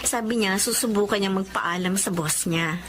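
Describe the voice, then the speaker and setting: A woman's voice: medium pitch, moderate pace, background noise, close to an everyday voice. A woman playing a worried young wife and mother, respectful and plaintive, in a Filipino radio drama. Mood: earnest, wistful.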